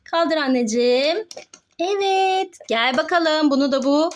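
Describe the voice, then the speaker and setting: A woman's voice: sits high, expressive, a little slow. A woman narrating pretend play for children as host, coaxing and giving simple step-by-step instructions like a mother to her child.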